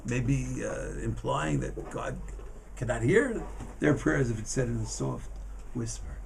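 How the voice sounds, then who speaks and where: A man giving an informal Torah class as a rabbi, in a halting, emphatic manner that often repeats words. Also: mid-range voice, animated, creaky, a little mellow, a little hiss or hum. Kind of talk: informal religious lesson.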